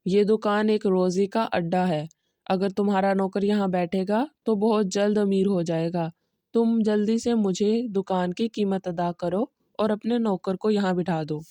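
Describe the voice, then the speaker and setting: A woman's voice: mid-range voice, fairly even intonation, measured pace, warm tone, dry recording, clean studio sound, pitched below the performer's own voice, close to an everyday voice. A woman voicing a lazy, cunning young male cobbler with a glib, persuasive way of talking. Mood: eager.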